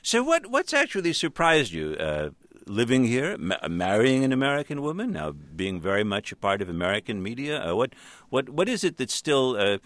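A man interviewing a comedian, asking his questions in a halting way with many restarts. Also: mid-range voice, wildly swooping pitch, a bit bright, no echo. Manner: halting, restarts, frequent fillers. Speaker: radio interviewer.

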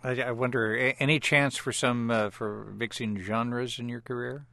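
A man's voice: mid-range voice, fairly even intonation, normal speed, creaky, sharp tone. A man hosting a broadcast interview, asking brief, courteous questions. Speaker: radio interviewer, host.

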